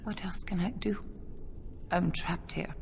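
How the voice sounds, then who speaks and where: Low-voiced, desperate rebel mage. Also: female voice, fairly even intonation, measured pace, vocal fry, a little mellow, in a whisper. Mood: despairing. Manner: pleading, impassioned. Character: desperate, defiant rebel woman blood mage. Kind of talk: dramatic video game acting.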